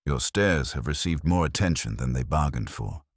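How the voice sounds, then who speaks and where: A man voicing a mild, measured police inspector in an audio story, polite, understated and quietly probing. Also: rumbling low, animated, husky, dry recording, clean studio sound, full character voice.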